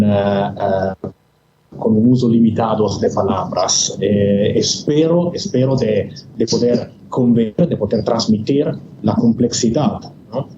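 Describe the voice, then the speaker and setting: A man with a low voice speaks very slowly and haltingly, a non-native speaker giving an academic talk. His voice arrives over a video call that sounds echoey and muffled, with steady noise underneath. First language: not Spanish. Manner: hesitant, many fillers and restarts. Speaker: guest speaker.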